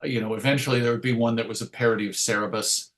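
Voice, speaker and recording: A man's voice: mid-range voice, steady tone, very fast, fairly bright tone. A middle-aged man reminiscing casually as an interview guest, hesitant and rambling, often interrupting himself to restart a thought. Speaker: interview guest, writer and radio show host.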